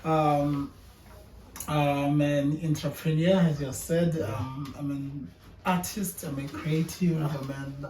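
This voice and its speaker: A man's voice: on the high side, steady tone, slow pace, darkish tone. A young man from South Africa, a guest entrepreneur in a casual interview, speaking hesitantly and often repeating words as he thinks. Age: young adult. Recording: echoey room, distant mic.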